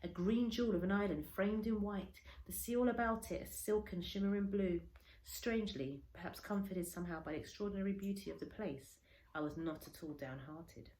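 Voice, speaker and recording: A woman reading a children's story aloud like a teacher, dramatically, with pauses and stretched words for emphasis. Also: mid-range voice, a little mellow. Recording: echoey room, faint background noise.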